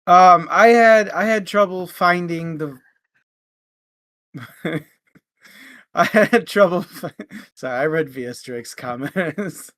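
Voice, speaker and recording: A man hosting a casual book discussion, thinking aloud in a halting way. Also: sits high, a bit bright, no room sound. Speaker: book discussion show host.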